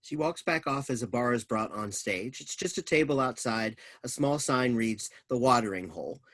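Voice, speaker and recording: A man's voice: medium pitch, lively intonation, moderate pace, a bit bright. A man reading out stage directions as scripted narration at a play reading.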